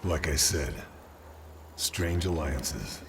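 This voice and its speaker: Deep-voiced, stoic wartime sniper. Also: male voice, extremely slow, gravelly, noisy background, full character voice. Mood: dry. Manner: terse, deadpan. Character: stoic, hard-bitten male Allied sniper agent at war. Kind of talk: scripted game cutscene narration and dialogue.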